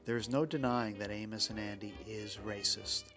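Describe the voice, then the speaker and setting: Low-voiced male documentary narrator. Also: steady tone, normal speed. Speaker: host, narrator. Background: music.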